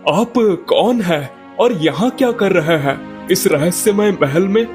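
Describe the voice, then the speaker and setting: Man playing a slow-speaking, higher-voiced earnest prince. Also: animated, over music. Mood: concerned. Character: young wandering prince, concerned and gentle. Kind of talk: Hindi cartoon fairy tale acting.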